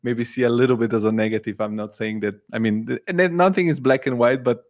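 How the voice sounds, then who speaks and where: बल्गेरियाई मूल भाषा वाला एक पुरुष पॉडकास्ट मेज़बान, जो अंग्रेज़ी में सवाल पूछता है, शब्द खोजते हुए रुकता है और वाक्य दोबारा शुरू करता है।. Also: male voice, mid-range voice. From Bulgaria. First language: Bulgarian. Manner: halting, searching for words, many restarts. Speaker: podcast host. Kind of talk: interviewing.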